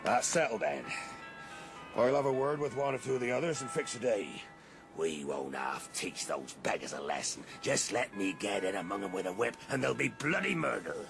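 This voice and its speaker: A man's voice: fairly low pitch, expressive, normal speed, creaky, music in the background. A man playing a blustering, suspicious farmer with a rural English accent in a radio drama. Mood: menacing. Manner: rustic, emphatic, grumbling.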